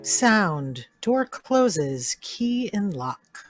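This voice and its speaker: A woman reading out the sound effect cues for a live radio play, flat and deliberate. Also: medium pitch, loud music behind the voice.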